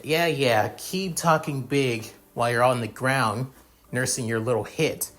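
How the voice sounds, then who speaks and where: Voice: medium pitch, unhurried, a bit bright, close to an everyday voice. A narrator voicing a tough, protective young girl who scolds in a blunt way. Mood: scolding.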